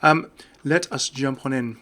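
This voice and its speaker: A man's voice: mid-range voice, fairly even intonation, measured pace, a bit bright. A man giving casual, off-the-cuff video game commentary in a chatty, enthusiastic way. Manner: chatty, enthusiastic about small details.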